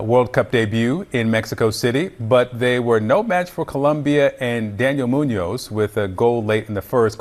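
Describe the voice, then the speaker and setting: A man hosting a news broadcast, reading sports results and interviewing a guest in a conversational way with a few fillers. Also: medium pitch. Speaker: news host.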